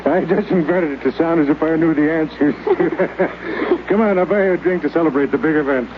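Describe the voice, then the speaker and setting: An actor plays a kindly, world-weary male space-station official who speaks in a dry, wry, gently probing way in a 1950s radio drama. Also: fairly high pitch, moderate pace, natural voice. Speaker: narrator and station supervisor. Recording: dull lo-fi radio with clear hiss.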